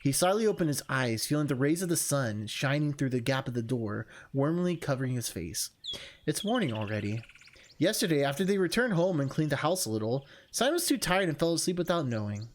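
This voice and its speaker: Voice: medium pitch, big pitch swings, a little fast. A streamer reading a visual novel aloud and adding informal, self-deprecating asides. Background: music.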